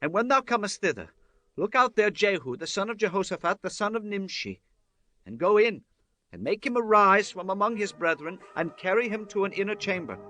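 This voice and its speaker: A man playing a commanding Old Testament prophet in a dramatized scripture reading, with forceful, declamatory delivery. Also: sits high, very animated, brisk, no echo, heavily acted. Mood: solemn, commanding. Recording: voice over background music. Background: music.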